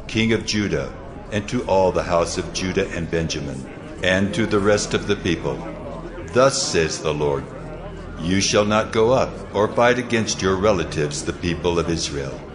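An actor playing a solemn man of God who delivers a divine command with measured authority in an audio Bible drama. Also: low-pitched, expressive, music in the background. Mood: grave, commanding.

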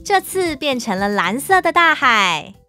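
A woman's voice: sits high, sing-song, unhurried, pushed and bright, heavily acted. A woman playing a friendly storyteller, narrating a children's cartoon with a Taiwanese Mandarin accent. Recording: children's music behind.

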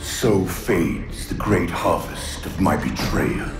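An actor playing an ancient, vengeful male alien warlord in a video game cutscene, speaking in slow, grandiose, scornful pronouncements with a deep, menacing rasp. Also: over music. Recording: echoey distant voice over orchestral score.

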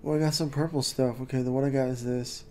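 A man's voice: mid-range voice, quick pace. A man giving casual, chatty commentary while playing a video game. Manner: relaxed, chatty, reacting to the game. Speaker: gameplay streamer.

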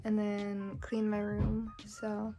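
Chatty teen girl vlogger, casual and rambling, close phone mic, soft music underneath. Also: mid-range voice, monotone, slow. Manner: chatty, rambling, lots of "like" fillers. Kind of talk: casual day-in-the-life vlog. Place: small bedroom and home, indoors. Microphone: phone camera held close.

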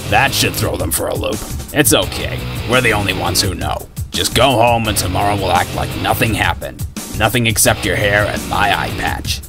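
A man's voice: lowish voice, lightly acted. A man voicing a snarky, foul-mouthed ginger schoolboy gunslinger in a video game, cocky and slangy yet caring. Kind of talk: video game character voice acting.

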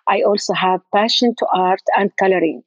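An older woman from Jordan talks through an interview haltingly, pausing to find her words. Her voice sits at a middle pitch. It comes over a dull, muffled line in a dry, close sound. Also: dry recording. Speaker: event designer, guest. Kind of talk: interview conversation.

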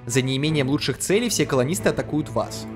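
A man's voice: mid-range voice, expressive, fast. A man hosting a let's play, reading the game text aloud and reacting in loose slang. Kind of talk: casual gameplay commentary. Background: game music.

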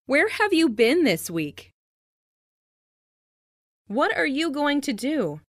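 Female language-lesson narrator, higher-pitched and unhurried, enunciating each practice phrase. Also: big pitch swings, a bit bright, no room sound, clean studio sound. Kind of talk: scripted reading of English practice phrases.

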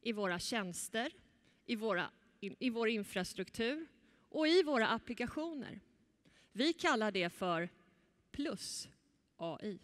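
Lively woman presenting on a big stage. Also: on the high side, pauses often. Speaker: event moderator. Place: large conference stage. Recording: echoey hall.

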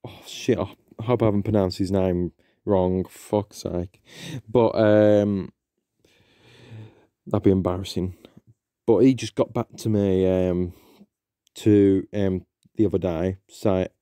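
A man's voice: low voice, fairly even intonation, slow pace, long pauses, dark tone, clear, resonant tone, no room sound. A young man hosting a podcast, chatting casually and hesitantly with many ums and restarts. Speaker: podcast host. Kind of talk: casual solo intro chat.

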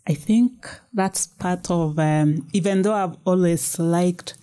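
Kenyan woman, 50s, low and mellow, thoughtful unhurried interview guest. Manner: thoughtful, unhurried storytelling with soft fillers. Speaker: guest author. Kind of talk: casual interview. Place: small recording studio. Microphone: studio mic on boom arm.

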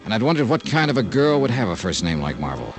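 An actor playing a tough, dogged investigator in a 1950s radio drama, terse and wry, in hardboiled style. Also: male voice, lowish voice, sing-song, quick pace, over music. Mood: brooding. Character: Johnny Dollar, tough, dogged male investigator. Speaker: lead actor and narrator. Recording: noisy old radio drama recording.

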